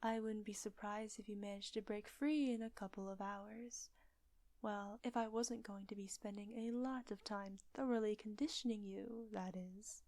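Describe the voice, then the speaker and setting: A woman acting a seductive, controlling witch in an intimate roleplay audio drama, with breathy, teasing, commanding delivery. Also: mid-range voice, fairly even intonation, moderate pace, a little mellow, no room sound, natural voice. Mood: possessive, teasing.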